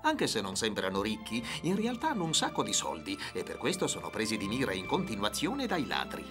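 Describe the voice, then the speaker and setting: A man's voice: lowish voice, huge pitch swings, fairly quick. A man narrating a children's cartoon as a scripted storyteller. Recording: music behind the voice.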